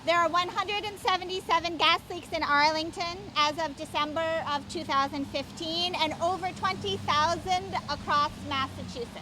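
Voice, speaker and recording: A woman's voice: extremely high pitch, fairly even intonation, moderate pace, hardly any pauses. A woman makes a public campaign statement outdoors. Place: outdoors in town. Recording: outdoor traffic noise.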